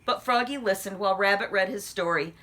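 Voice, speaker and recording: A woman reading a children's story aloud as an expressive narrator. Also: mid-range voice, fairly quick. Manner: animated, addresses young listeners with questions.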